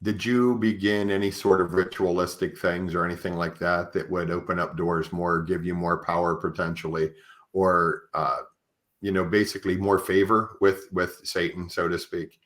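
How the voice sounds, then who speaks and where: A man with a low, deep voice hosts a podcast interview. He talks conversationally, circling tentatively around his questions and restating them as he goes. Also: level tone, moderate pace, fairly bright tone. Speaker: podcast host.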